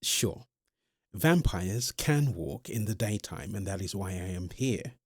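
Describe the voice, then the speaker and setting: Male actor as ancient vampire, low crisp voice, earnest, hesitant, polite, audio roleplay. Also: animated, unhurried, no room sound, clean studio sound, full character voice. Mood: explaining, firm. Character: earnest 205-year-old male vampire in love. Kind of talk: ASMR audio roleplay, one-sided interview.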